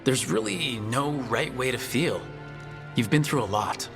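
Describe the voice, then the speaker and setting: A man's voice: medium pitch, animated, a little slow, gravelly. A man playing a kind, earnest hero who leads a team in a fantasy game, with a reassuring, supportive manner. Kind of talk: fantasy video game acting. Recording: game dialogue with music behind.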